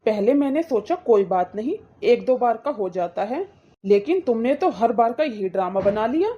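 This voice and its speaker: The recording is dull and slightly muffled. A woman acts out an indignant brother from a cartoon moral story, defending his sisters in a high, mellow voice. The delivery is reproachful and insistent, full of pointed questions. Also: animated, moderate pace. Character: indignant protective brother scolding his wife.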